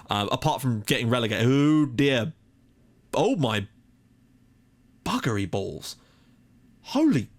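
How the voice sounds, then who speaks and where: Young guy chatting fast over a football sim, bouncy pitch, jokey and rambly. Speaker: let's play host. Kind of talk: casual game commentary.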